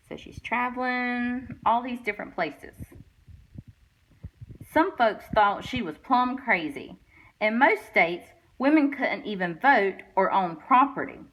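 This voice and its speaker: A woman reading a children's picture book aloud with expressive storytelling delivery. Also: medium pitch, low-quality recording. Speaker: guest read-aloud reader.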